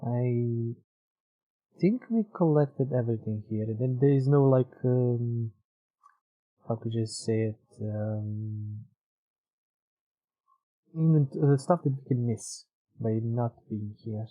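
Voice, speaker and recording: A man's voice: mid-range voice, slow, long pauses. Rambling male game streamer, slightly dull sound. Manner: rambling, thinks aloud, mutters fillers. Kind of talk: casual live gameplay commentary.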